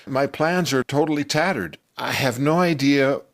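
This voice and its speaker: An older man telling a personal story from his youth in a conversational, halting and animated way. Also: mid-range voice, vocal fry. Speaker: storyteller telling his own childhood memory. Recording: music bed under the voice. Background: music.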